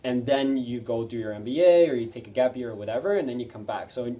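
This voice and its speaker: A Dutch man in his thirties answering interview questions in a relaxed, hesitant way, with many ums and self-deprecating laughs. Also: medium pitch, fast talker, distant mic. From Netherlands. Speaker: interview guest. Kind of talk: casual interview chat.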